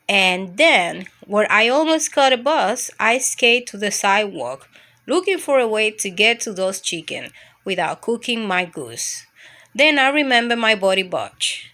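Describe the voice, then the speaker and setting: A woman's voice: on the high side, bright, forceful tone. A woman voicing a mischievous, adventure-hungry dog who tells its own storybook escapade. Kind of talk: storybook first-person narration.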